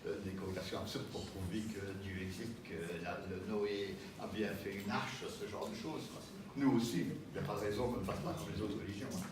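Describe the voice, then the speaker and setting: A man giving a public talk and answering audience questions, lively and joking, with frequent laughs. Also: lowish voice, fairly quick. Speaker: pastafarian minister giving a talk. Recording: echoey hall with noise, mic far off.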